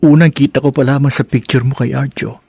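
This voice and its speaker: An actor playing a devoted, humble man in love in a radio drama, speaking haltingly and earnestly. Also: medium pitch, steady tone, vocal fry, a little mellow, slightly rough, no echo, studio quality. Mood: earnest, lovestruck.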